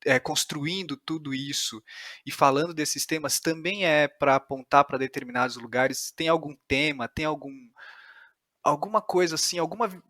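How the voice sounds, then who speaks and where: A man with a Brazilian accent and a bright, sharp-edged voice in a very dry recording. He interviews in a casual way, feeling his way hesitantly through each phrase and often rewording it. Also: a little high, normal speed, no room sound. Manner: tentative, hesitant, rephrasing questions. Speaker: podcast interviewer.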